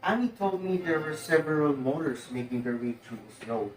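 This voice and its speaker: A man's voice: on the high side, expressive, normal speed, lightly acted. A young man playing a formal, deferential household butler in an amateur stage play. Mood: dutiful. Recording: echoey, distant mic under music.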